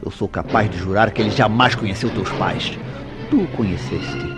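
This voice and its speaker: A man's voice: fairly low pitch, normal speed, natural voice. A man with a Brazilian accent playing a jealous, suspicious husband in a radio soap opera, insistent and accusatory in delivery. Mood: bitter, furious. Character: jealous, suspicious husband interrogating his wife. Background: music behind the voice.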